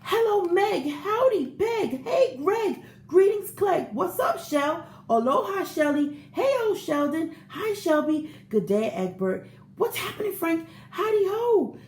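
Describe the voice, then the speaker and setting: Lady doing a sweet eager good egg from a kids book, super swoopy expressive voice, echoey room w a bit of noise. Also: very high-pitched, slow pace, voice pushed up, full character voice. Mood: cheerful greeting. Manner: expressive, earnest, theatrical storybook delivery. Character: earnest, eager-to-please good egg telling its own story. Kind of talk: children's picture book read-aloud, first-person storytelling. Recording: roomy echo with faint background noise.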